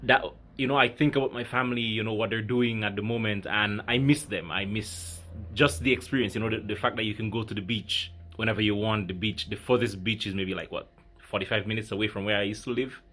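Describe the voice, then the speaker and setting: A man in his thirties from Grenada, talking reflectively to his viewers in a halting, casual way with many fillers. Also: fairly low pitch, steady tone, a bit bright. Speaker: vlogger. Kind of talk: casual talk to camera.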